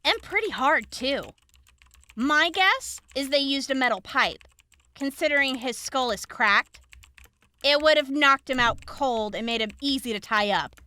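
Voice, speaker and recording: A woman acting a brisk, clinical young examiner in a post-apocalyptic audio drama, explaining gory details frankly. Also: high-pitched, expressive, pushed and bright, heavily acted. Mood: grim, explanatory.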